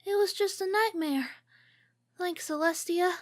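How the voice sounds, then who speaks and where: A woman's voice: very high voice, no room sound, studio quality. A woman voicing a young cartoon filly, a plaintive little pony girl in a fan fiction audio drama. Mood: shaken, relieved. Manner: plaintive, drawn-out cries.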